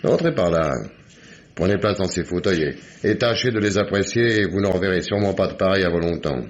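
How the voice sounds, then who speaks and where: An actor playing a stern, sarcastic police inspector in a French radio mystery, commanding and sardonic. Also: male voice, deep voice, brisk, lightly acted. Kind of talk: French radio detective drama acting. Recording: dull, noisy old radio recording.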